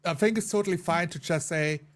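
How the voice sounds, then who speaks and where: A man's voice: fairly high pitch, fairly quick. A man chatting casually as one of the players in a tabletop roleplaying group. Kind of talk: casual chat.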